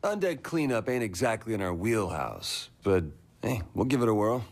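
An actor playing a brash, swaggering gang boss in a video game, speaking bluntly and casually. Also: male voice, lowish voice, big pitch swings, normal speed. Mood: casual confidence. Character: brash, cocky, foul-mouthed young gang boss.

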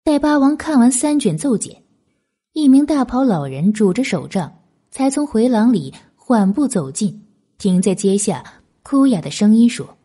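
A woman's voice: fairly high pitch, big pitch swings, moderate pace, mellow, slightly rough. A woman narrating an audiobook novel in a scripted, dramatic storytelling style.

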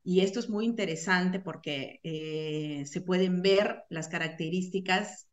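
A woman's voice: on the low side, unhurried. A middle-aged woman from Peru with a Peruvian Spanish accent, presenting a teaching webinar over a video call, speaking with frequent fillers and self-corrections.

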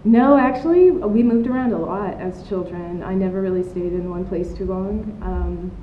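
A woman in her thirties from the United States talks in a relaxed interview, rambling and reflective, full of fillers and restarts. Her voice sits at a middle pitch and is caught by a distant camera mic outdoors. The sound is roomy and dull, with a low rumble and faint noise behind it. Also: normal speed. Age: 30s. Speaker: artist being interviewed. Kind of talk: casual interview conversation. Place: outdoor patio of an art studio.

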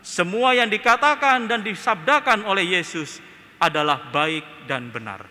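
Young man, Indonesian accent, priest's homily, unhurried, lively swooping pitch, long church reverb. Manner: slow, emphatic preaching with rising and falling phrases. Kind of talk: homily at Mass. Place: church sanctuary, at a lectern. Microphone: gooseneck lectern mic.

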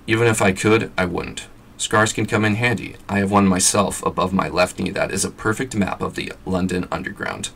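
A man voicing a wise old male wizard headmaster who speaks calmly with dry humour. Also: deep voice, normal speed, vocal fry, a little hiss or hum. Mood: wry.

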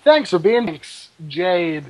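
Man, high-pitched voice, casual read-aloud, informal co-reader. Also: big pitch swings, slow. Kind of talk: reading fan fiction aloud.

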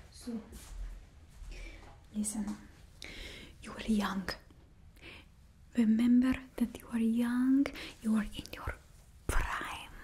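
A woman's voice: on the high side, mellow, slight background noise. A young woman voicing a bossy female stylist to supervillains with a put-on Russian accent, whispering blunt remarks close to the mic. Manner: blunt and condescending. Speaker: ASMR creator. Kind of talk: ASMR roleplay. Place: indoor studio with painted backdrop. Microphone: close ASMR mic.

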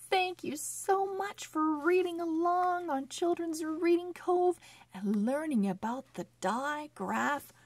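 A woman hosting a children's read-along phonics lesson, speaking in a sing-song, encouraging way with deliberate, exaggerated enunciation. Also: high-pitched, a little mellow, no echo.

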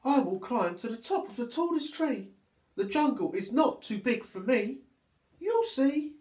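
Guy doing a brave little girl monkey from a kids storybook, high voice, determined n emphatic, bit echoey. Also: sing-song, a little slow, a little mellow, heavily acted. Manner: exclaiming, emphatic. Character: small brave young female monkey, plucky and determined.

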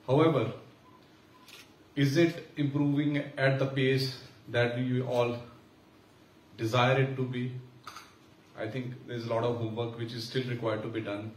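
A man with an Indian English accent giving a formal, measured speech at a conference, pausing with fillers. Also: mid-range voice, fairly even intonation. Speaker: senior official giving keynote. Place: large conference hall. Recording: echoey hall from far off, faint hiss.

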